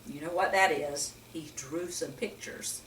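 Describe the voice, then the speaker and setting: An older woman talking to young children as a storytime reader, in a gentle, teacherly manner full of questions to the listener. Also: sits low, unhurried, a little hiss or hum. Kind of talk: storytime talk to young children with Bible lesson. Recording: roomy echo, distant microphone.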